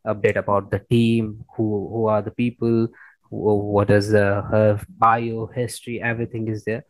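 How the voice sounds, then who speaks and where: A man's voice: fairly low pitch, little pitch movement, moderate pace, no room sound, rough recording. A man hosting a conversational interview, often repeating his words and phrases as he goes. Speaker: podcast host interviewing a guest.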